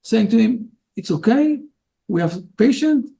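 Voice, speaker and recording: Gravelly high-pitched man, halting English. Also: animated, mellow. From Israel. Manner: halting non-native English with frequent fillers. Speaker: rabbi. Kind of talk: interview guest.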